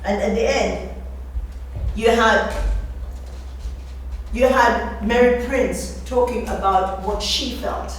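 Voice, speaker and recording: A woman's voice: fairly high pitch, takes it slowly, pauses often. A woman giving an explanatory literary talk, emphatic and repeating phrases for effect. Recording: echoey room, distant mic, faint noise.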